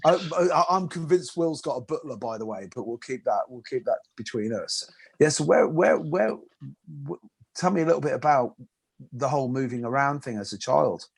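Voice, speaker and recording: Man, husky voice, chatty host, stumbles over repeated words. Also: medium pitch, lively intonation, moderate pace. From England. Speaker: host and martial arts instructor. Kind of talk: casual interview chat.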